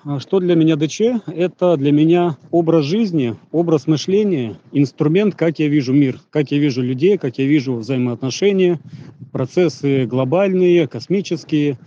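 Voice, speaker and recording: A man's voice: on the high side, moderate pace, mellow, muffled recording, a little hiss or hum. A man giving an earnest, reflective personal testimony as a consultant, listing his ideas in short phrases. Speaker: Human Design consultant.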